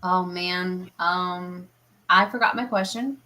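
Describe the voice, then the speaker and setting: A woman's voice: on the low side, slow pace, sharp tone. A woman co-hosting a show, chatting casually with the guest and her colleagues. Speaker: show co-host. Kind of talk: casual chat.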